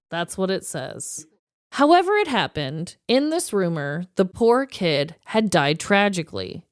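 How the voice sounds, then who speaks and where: A woman co-hosting a podcast, telling stories from her notes in an informal, animated, emphatic way. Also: medium pitch, clear, resonant tone, dry recording, studio quality. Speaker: podcast co-host. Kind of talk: chatty storytelling, reading quotes from notes.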